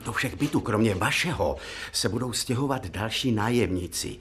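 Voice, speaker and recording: A man's voice: on the low side, animated, a bit bright, slight background noise. An actor playing a deferential, gossipy doorman in a radio drama, reporting in respectful but colloquial speech. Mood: confiding.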